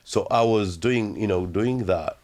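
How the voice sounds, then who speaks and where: A young Ugandan-accented man with a notably low, deep voice speaks as an interview guest. He tells his story slowly and reflectively, pausing often and leaning on fillers as he searches for words. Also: darkish tone. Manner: halting, reflective, heavy with fillers.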